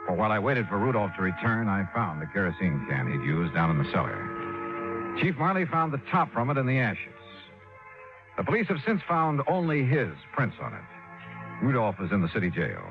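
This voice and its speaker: An actor playing a wry, self-assured insurance investigator in a crisp 1950s radio detective style. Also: male voice, medium pitch, close to an everyday voice. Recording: dull old radio recording with music.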